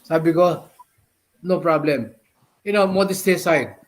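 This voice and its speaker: An older man with a Filipino accent speaks in a somewhat high-pitched voice. He reminisces conversationally, slipping between Tagalog and English. He often repeats a phrase and quotes past exchanges like a practiced storyteller. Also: normal speed. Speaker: veteran radio personality.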